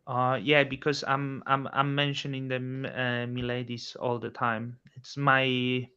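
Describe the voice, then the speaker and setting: A man hosting a casual chat, asking probing questions in a slightly halting way. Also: mid-range voice, completely flat delivery, sharp tone, clear, resonant tone. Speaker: host. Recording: muffled, dull sound.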